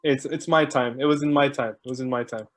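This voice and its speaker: A man's voice: mid-range voice, steady tone, quick pace, slight background noise. A man hosting an online video call, chatting casually and greeting people one by one while he jokes about stalling. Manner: chatty, joking, warm greetings to each arrival. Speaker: host.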